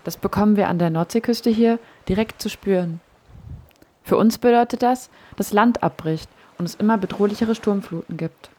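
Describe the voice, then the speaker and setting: Actress as a 32-year-old coastal woman, calm, warm mellow tone. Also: medium pitch, normal speed, natural voice. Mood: plain. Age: 30s.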